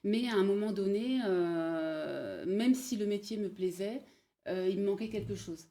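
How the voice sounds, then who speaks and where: A woman in her forties from Paris, telling her career story as a guest in a casual interview, pausing often with fillers. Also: medium pitch, mellow. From Paris, France. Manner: hesitant, many pauses and fillers. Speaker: guest, bridal showroom manager.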